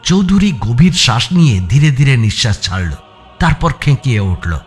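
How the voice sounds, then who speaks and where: A man narrating a suspense audio story in the first person, with expressive, dramatic delivery. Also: medium pitch, moderate pace. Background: background music.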